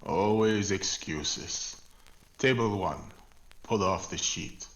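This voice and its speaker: A man playing a weary, sardonic older Portuguese police chief in a 1940s-style radio drama, speaking deliberately and with dry scorn. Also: sits low, fairly bright tone, rough recording. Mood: stern, scornful. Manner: slow, deliberate, dryly scornful.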